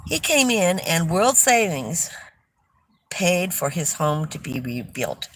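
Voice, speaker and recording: Loud-voiced older woman, chatty livestream host. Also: lowish voice, very animated, slow pace, a little hiss or hum, low-quality recording. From California. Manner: chatty, warm storytelling with restarts. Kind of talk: casual livestream chat reading viewer comments.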